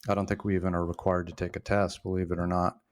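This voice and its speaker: A man in his sixties, a former journalist being interviewed, telling stories in a reflective, halting way with frequent restarts. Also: deep voice, little pitch movement. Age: 60s. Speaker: podcast guest, former journalist. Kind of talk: casual storytelling interview.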